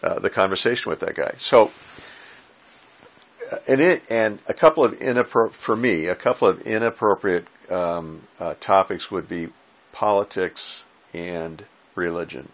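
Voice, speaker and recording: Male, 60s, American. Deep voice with vocal fry, halting conversational delivery, informal instructional talk. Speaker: ham radio hobbyist giving advice. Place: small indoor home radio room.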